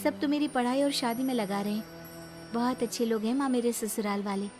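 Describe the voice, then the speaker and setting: A woman voicing an earnest, expressive young bride-to-be and devoted daughter in a cartoon story. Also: on the high side, fairly quick, over music, close to an everyday voice. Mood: pleased, sincere.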